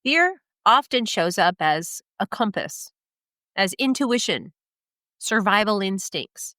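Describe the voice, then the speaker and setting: A woman's voice: mid-range voice, lively intonation, slow, pushed and bright, no echo, studio quality. Nasal-voiced motivational podcast host woman. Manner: motivational, emphatic with rhetorical questions. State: nasal from a cold. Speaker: podcast host and career coach. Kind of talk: scripted solo podcast monologue.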